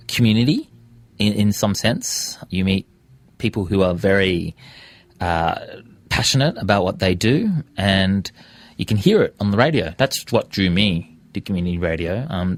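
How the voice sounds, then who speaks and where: Australian man, low-pitched voice, conversational interview guest, close dry studio recording. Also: dry recording. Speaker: radio presenter and podcaster on as a guest. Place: radio studio.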